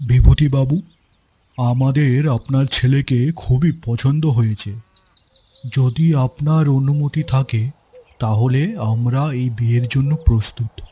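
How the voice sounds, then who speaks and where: Man acting a husky, slow, courteous elder. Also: medium pitch, fairly even intonation, warm tone. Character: courteous middle-aged father of a bride.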